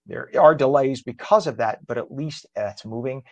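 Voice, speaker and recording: A man hosts a live morning show, chatting his way through traffic updates with easy fillers. His voice is mid-pitched and recorded dry, with little room sound. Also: moderate pace, no room sound, dull audio. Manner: chatty and conversational, frequent fillers. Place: home studio.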